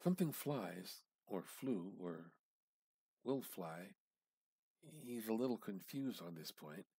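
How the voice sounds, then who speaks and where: A man gives a dramatic reading of literary fiction, deliberate and intense, halting for dramatic pauses. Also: on the low side, animated, warm tone, husky, dry recording. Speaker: amateur reader.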